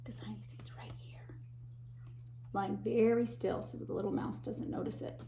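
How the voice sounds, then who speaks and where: A woman teacher reading a picture book aloud to children, pausing to point out the pictures and dropping to a whisper or a gasp at tense moments. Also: mid-range voice, creaky. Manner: animated, gasps and whispers for suspense, pauses to point out pictures. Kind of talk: expressive read-aloud storytime.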